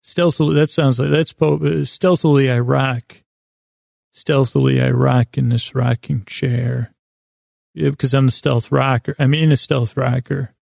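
A man hosting a sleep podcast, rambling in a halting, meandering way with a gravelly voice, often pausing to restate himself. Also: mid-range voice, steady tone, a little mellow, dry recording, clean studio sound. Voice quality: gravelly, creaky. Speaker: podcast host. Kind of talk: rambling bedtime monologue to help listeners sleep.

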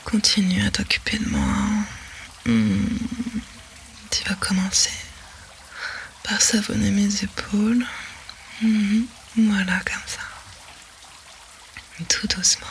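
A woman whispering a sensual roleplay to the listener in a breathy, commanding way. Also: medium pitch, very animated, slow, pauses often, a little hiss or hum. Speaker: narrator of an intimate audio roleplay.

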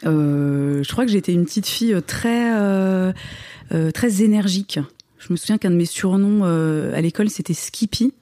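Female podcast guest, thirties, from Lorraine: warm dark tone, casual and self-deprecating, dry sound. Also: fairly low pitch, normal speed, no echo. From Lorraine, France. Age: 30s. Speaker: podcast guest, video creator. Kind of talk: casual interview chat.

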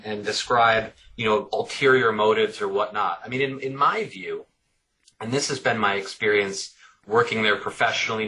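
Middle-aged male guest, bright lowish voice, thoughtful, restarts his sentences. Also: low-quality recording. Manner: thoughtful, repeats words while working out ideas. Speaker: author guest. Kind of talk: conversational interview answers.

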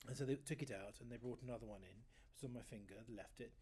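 A man in his fifties with a fairly high voice, chatting in an interview and telling rambling stories with many self-corrections. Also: fast, dark tone, breathy edge. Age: 50s. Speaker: guest oboist. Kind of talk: casual interview chat.

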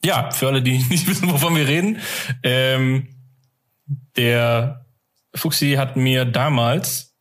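A man's voice: medium pitch, slow pace, a bit bright. A man hosting a podcast in an informal, colloquial chat with a jokey manner. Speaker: podcast host. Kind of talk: casual chat.

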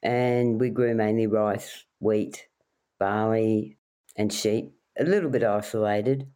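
A woman's voice: extremely low pitch, slow pace, mellow. A middle-aged woman from rural New South Wales with an Australian accent, telling casual anecdotes as an interview guest. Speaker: podcast guest, wildlife carer.

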